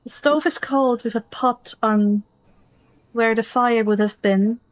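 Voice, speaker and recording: Slow-paced, higher-voiced woman game master. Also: fairly even intonation, no echo, low-quality recording. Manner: descriptive, deliberate. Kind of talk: narrating a tabletop role-playing game.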